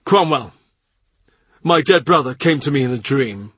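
A troubled, brooding king, voiced by a man in an audiobook, carried higher and fuller than the narration. The tone is husky, set close in a dry booth. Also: lively intonation, a little slow, fairly bright tone, no echo. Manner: brooding, troubled, speaks at length. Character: weary anxious middle-aged male king.

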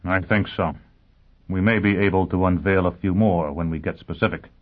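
A man's voice: deep voice, fairly even intonation, moderate pace. A man voicing a grim, bitter criminal tormented by conscience in an audiobook, speaking with grave intensity. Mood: terse, grim. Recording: narrow phone-like sound.

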